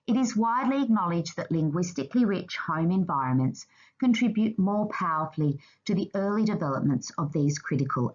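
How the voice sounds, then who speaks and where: A woman narrating a scripted slide presentation in a formal, measured reading manner. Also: fairly low pitch, darkish tone, husky, muffled recording.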